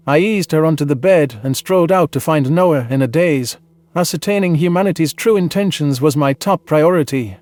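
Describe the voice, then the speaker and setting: Higher-voiced man narrating, dry close sound. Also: darkish tone, no room sound. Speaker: audiobook narrator. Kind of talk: scripted first-person science fiction narration, dramatized.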